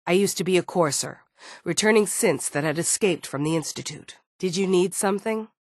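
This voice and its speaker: A woman's voice: lowish voice, moderate pace, lightly acted. A woman voicing a stern, guarded android security chief in a video game, firm and businesslike. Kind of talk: scripted game dialogue.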